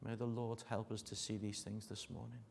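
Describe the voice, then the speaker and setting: A middle-aged man with a Liverpool accent preaching a sermon, mixing jokey asides with earnest warnings that sink to a hush. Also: lowish voice, flat delivery, a little fast, vocal fry, a little hiss or hum. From Liverpool, England.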